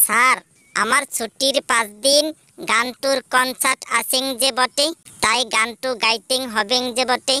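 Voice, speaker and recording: A woman voicing a slow, dim village schoolboy in a cartoon, drawling in rustic dialect. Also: high voice, fairly even intonation, sharp tone, clear, resonant tone, no room sound. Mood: deadpan.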